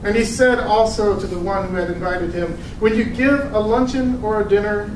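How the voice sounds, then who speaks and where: A man giving a casual sermon, telling a story and reading scripture, with halting restarts and teasing asides to his listeners. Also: sits high. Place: church room with a live audience. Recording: echoey room from a distant mic with noise.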